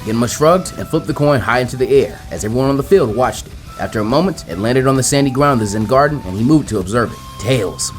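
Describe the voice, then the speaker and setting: A man's voice: medium pitch, expressive, fast talker, hardly any pauses, faint music behind. A man narrating a story as a reader, in a scripted, dramatic audiobook style. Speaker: fan fiction reader, narrator.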